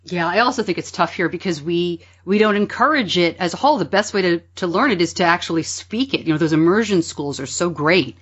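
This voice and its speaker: A woman interviewer with a fairly low voice asks questions in a chatty, curious way. The sound is a little dull and lo-fi. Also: brisk, hardly any pauses, husky. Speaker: podcast host, interviewer. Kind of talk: conversational interview.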